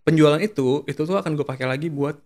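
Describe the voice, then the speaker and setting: A man's voice: fairly high pitch, quick pace, a little mellow. A young adult man with a Jakarta Indonesian accent, chatting casually as a podcast guest in slangy speech sprinkled with English terms. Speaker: podcast guest, pageant contestant.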